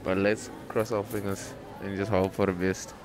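Young man vlogging over loud street noise. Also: deep voice, little pitch movement, normal speed. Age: young adult. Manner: joking, swaggering, self-mocking street slang. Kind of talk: casual rambling selfie vlog. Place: outdoors on city streets and inside a shop. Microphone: wired earphone mic, handheld phone from below.

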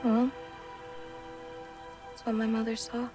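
A woman's voice: fairly high pitch, monotone, takes it slowly, music in the background. A woman playing a guarded, independent young giantess, wry and burdened, in a naturalistic video game cutscene. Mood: resigned.

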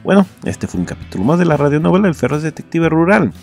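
A man's voice: fairly high pitch, big pitch swings, quick pace, darkish tone, soft background music. A man giving casual commentary as a podcast presenter.

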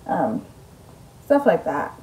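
A woman's voice: deep voice, wildly swooping pitch, takes it slowly, a little mellow, slight background noise, rough recording. A young woman vlogging from her bedroom, chatting casually and upbeat with lots of ums while speaking close to a camera. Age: young adult. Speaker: mom vlogger. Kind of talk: casual vlog chat. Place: bedroom at home, indoors. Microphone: camera in front at close range.

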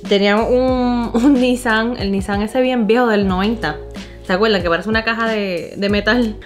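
A woman's voice: a little high, fairly even intonation, normal speed. Young Puerto Rican woman chatting over music. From Puerto Rico. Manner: informal, slangy, switches into English words. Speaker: vlogger. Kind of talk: casual chat, personal storytelling. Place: living room at home.

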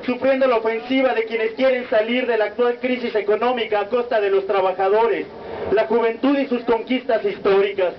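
A young man with a Mexican accent reading a solemn protest speech as a student speaker, in a measured, declamatory cadence. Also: very high-pitched, steady tone. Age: young adult. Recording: muffled lo-fi recording with faint noise.

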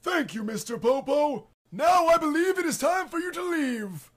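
A man voicing a polite, uneasy old alien guardian in an anime parody, formal and measured. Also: very high voice, animated, a bit bright. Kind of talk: anime parody dub acting.

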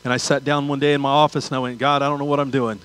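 An older pastor delivers a sermon in a mid-pitched voice. He speaks conversationally to his listeners. He repeats key phrases and poses rhetorical questions to drive each point home. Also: level tone, rapid-fire, fairly bright tone. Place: large church auditorium stage.

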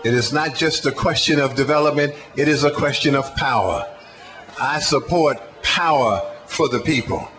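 A man in his sixties speaking deliberately and emphatically at an outdoor rally through a handheld microphone. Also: mid-range voice, a bit bright. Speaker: activist speaker. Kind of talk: rally speech. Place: outdoor city street stage.

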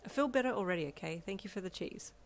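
A woman voicing a blunt, hungry young character who talks in slang and flat deadpan, quick to snap, in a storybook reading. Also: mid-range voice, faint music behind, lightly acted. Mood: commanding. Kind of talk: audiobook character voice.

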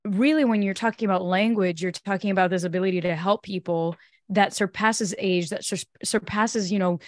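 A young adult woman from Brazil, a native Portuguese speaker, telling her story as a podcast guest in a lively, animated way. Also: medium pitch, no echo, studio quality. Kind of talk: casual interview chat. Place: home office with bookshelves. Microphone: studio mic on boom arm.

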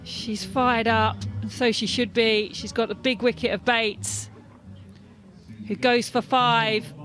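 A woman's voice: on the high side, steady tone, slow pace, fairly bright tone, faint music behind. A woman giving cricket commentary, analysing the play. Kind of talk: sports replay analysis.

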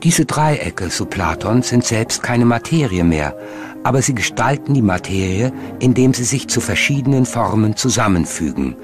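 A man narrating a documentary from a script in a measured, explanatory manner. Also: medium pitch, animated, over music.

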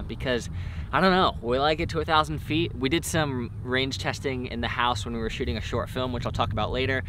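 A man's voice: mid-range voice, noisy background. A man in his twenties giving a casual gear review as a video host. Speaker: video reviewer, event shooter. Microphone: headset intercom mic.

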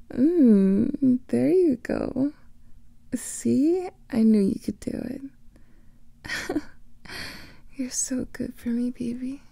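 A young woman voicing a teasing female vampire lover in an ASMR roleplay, whispering softly close to the microphone. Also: high voice, expressive, very slow, pauses often, dry recording, full character voice. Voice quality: breathy whisper with vocal fry.

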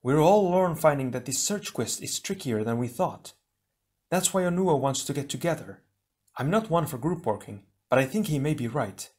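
A man's voice: a little high, animated, moderate pace, darkish tone, pitched above the performer's own voice, natural voice. A man voicing a cheerful, chatty young male air hero who speaks in broken, jumbled grammar. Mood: eager.

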